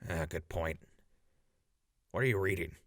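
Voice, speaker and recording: Man playing a deep, wry wasteland survivor. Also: unhurried, no room sound, clean studio sound. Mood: glum. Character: guilt-ridden, sardonic, plain-spoken male wasteland survivor. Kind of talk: post-apocalyptic audio drama acting.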